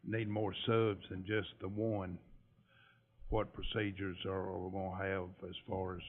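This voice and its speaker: A man on a school board asking hesitant, halting questions at a meeting. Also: sits low, level tone. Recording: tinny lo-fi meeting audio.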